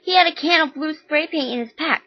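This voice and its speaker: A woman reading puts on an agitated mother, pitched up and loud as she yells at her son in a harsh, exasperated way. The tone is slightly husky, and the lo-fi recording dulls the sound. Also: expressive, moderate pace. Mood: yelling. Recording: dull lo-fi recording.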